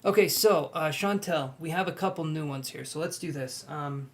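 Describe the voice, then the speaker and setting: Young guy doing a tarot live stream, kinda high voice, chatty and reassuring. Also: normal speed. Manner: chatty, gently reassuring, reads out viewer comments. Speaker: tarot reader. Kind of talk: live-stream chat with viewers.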